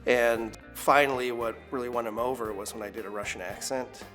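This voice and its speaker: A man's voice: medium pitch, fairly quick, poor audio. Guy in his 40s chatting about his studio, loose and rambly, lots of likes and you knows, faint music under him. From United States. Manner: relaxed and rambling, many fillers and restarts. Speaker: recording studio owner. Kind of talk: casual documentary interview.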